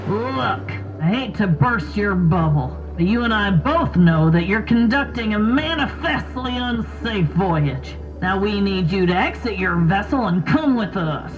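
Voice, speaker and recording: A woman playing a stern, exasperated Coast Guard officer in a podcast story, speaking with official, drawn-out emphasis. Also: mid-range voice, lively intonation, over music, close to an everyday voice. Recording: dull, lo-fi sound.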